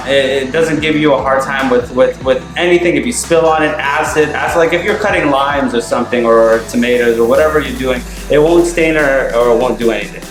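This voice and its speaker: A man's voice: medium pitch, roomy sound, rough recording. A man from Afghanistan giving a casual vlog tour of a house, talking in an emphatic, rambling way with lots of restarts and some swearing. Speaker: mortgage broker giving a home tour. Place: empty furnished house indoors.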